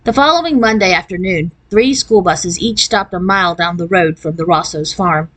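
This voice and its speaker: A woman narrating a children's novel in an expressive read-aloud style. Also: fairly low pitch, moderate pace.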